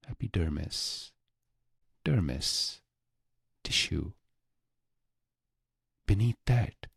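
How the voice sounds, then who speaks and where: A man narrating a scripted guided meditation in a hushed, breathy voice, speaking in short phrases with long pauses. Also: dry recording, studio quality. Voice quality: low, breathy, husky voice with creak.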